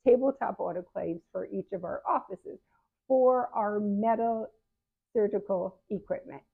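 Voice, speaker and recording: A woman's voice: mid-range voice, measured pace, gravelly, slightly rough. A seventy-year-old woman giving frank, conversational advice to her viewers as a patient advocate, often correcting herself mid-sentence. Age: 70s. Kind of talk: casual advice talk to camera. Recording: phone-like narrow sound.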